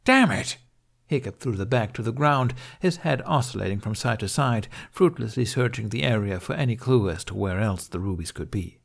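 A man's voice: lowish voice, a little fast, vocal fry, hardly any pauses. A man reading scripted fiction as an audiobook narrator.

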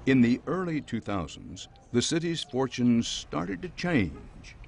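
A man reporting a documentary news story in a measured, scripted voice-over. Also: mid-range voice, sing-song, creaky, darkish tone, slight background noise.

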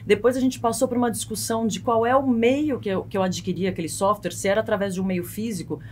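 Brazilian woman explaining, rumbly background noise. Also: fairly even intonation, fast talker, darkish tone. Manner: explanatory, peppered with tag questions like "né" and "tá". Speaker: guest legal expert. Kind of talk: conversational expert explanation on a podcast. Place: small foam-padded podcast studio. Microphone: close studio mic on boom arm.

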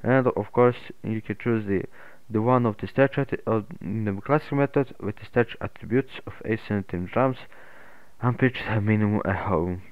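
A man narrating an unscripted software tutorial in a halting, hesitant way. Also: on the low side, no echo. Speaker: tutorial host. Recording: muffled phone-like narrow-band audio.

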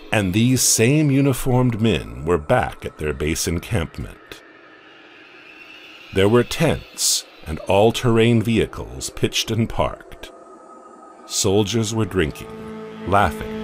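A man narrating a horror audio comic in a dramatic, measured storytelling manner. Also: low voice. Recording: music bed underneath.

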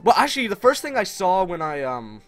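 A man's voice: high-pitched, very animated, brisk, fairly bright tone, no echo. A young man with a Canadian English accent, chatting and teasing his co-player while playing a strategy game. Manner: teasing, chatty, laughs at own jokes. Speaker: gamer playing multiplayer strategy. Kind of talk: casual gameplay chat. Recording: faint game music behind.

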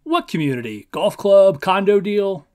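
A man's voice: high voice, lively intonation, a little slow, a little mellow, pitched above the performer's own voice. A man voicing a stern, pressing police officer who questions in disbelief, in a storybook reading. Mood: gruff questioning. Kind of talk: audiobook-style character reading.

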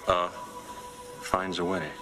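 A man playing a cocky, skeptical film scientist who muses with hesitant pauses. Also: low voice, completely flat delivery. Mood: skeptical, musing. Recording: loud film music behind.

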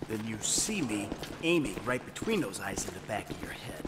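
An actor playing an impatient, wry robber posing as a security guard, with a dry, pushy manner. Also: male voice, on the low side, sing-song, moderate pace, very noisy. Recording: game audio with vehicle ambience.

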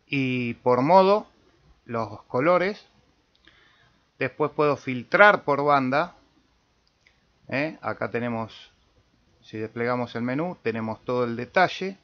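A man with an Argentine accent giving a casual step-by-step software tutorial as host, with small check-in tags. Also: medium pitch. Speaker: amateur radio tutorial host. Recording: dull lo-fi voice-over recording.

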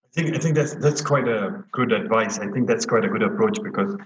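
A man hosts a casual chat. His voice is fairly low, and he often repeats words as he goes. The video call sound is noticeably echoey. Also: a little fast. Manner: repeats words and phrases as he talks. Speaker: interview host. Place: plain indoor room, video call. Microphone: wired earphone headset. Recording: roomy echo on video call.

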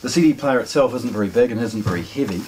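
A man's voice: mid-range voice, animated, fast, heavy background noise. A man presents a product in a casual, plain-spoken unboxing style, talking to camera indoors. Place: indoors, small showroom with shelves.

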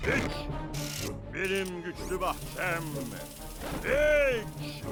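A man's voice: high-pitched, lively intonation, drawn-out words, heavily acted. A man voicing a boastful, hammy male villain in a dubbed cartoon, cackling and gloating. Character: boastful manly villain, silly and loud. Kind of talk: cartoon dub acting. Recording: cartoon soundtrack with loud music.

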